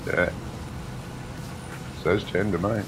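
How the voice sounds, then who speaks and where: A man's voice: low voice, steady tone, takes it slowly, background noise. A man chatting casually during multiplayer gameplay, making dry, teasing remarks to his co-player. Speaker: co-player on the stream.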